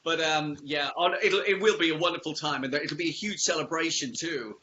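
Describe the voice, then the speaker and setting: A man's voice: on the high side, brisk, bright, forceful tone, highs cut off, a little hiss or hum. A man working as a radio interviewer, chatty and upbeat, often ending his remarks by checking for agreement. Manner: upbeat, chatty, tag questions.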